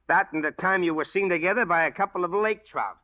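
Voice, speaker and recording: An actor playing a brusque, mocking police officer in a 1940s radio drama. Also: male voice, high-pitched, quick pace, sharp tone, no room sound. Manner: sarcastic, brusque. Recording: dull old radio recording.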